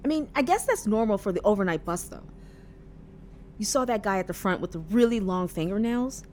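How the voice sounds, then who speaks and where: A quirky young woman on a night bus as a woman acts her in an audio drama. She chatters with lively, swooping intonation, earnest and matter-of-fact however strange it gets. A faint rumble sits behind her. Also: brisk, lightly acted. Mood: wary, gossipy. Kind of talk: audio drama acting, conversational. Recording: faint background noise with rumble.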